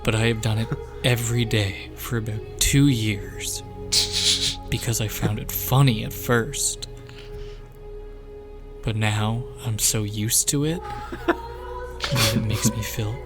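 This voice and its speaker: A man voicing a guilt-ridden sinner confessing to a priest in a grave tone. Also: on the low side. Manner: slow, grave, breaking into stifled laughs. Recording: dramatic choral music behind voice.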